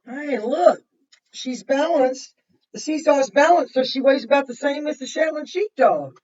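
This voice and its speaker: A woman's voice: sits high, expressive, moderate pace, a little mellow. A woman, a kindergarten teacher reading a picture book aloud to children and adding simple explanations and questions. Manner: simple explanatory phrasing, pointing things out, repeating and asking questions. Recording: muffled, distant microphone.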